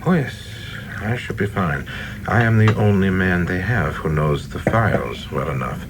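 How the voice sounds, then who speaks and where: A man's voice: low-pitched, expressive, moderate pace, noisy background. A man playing a reserved, ambitious government official and dutiful son in a radio play, formal and controlled. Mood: polite, guarded. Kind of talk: dramatic radio play acting.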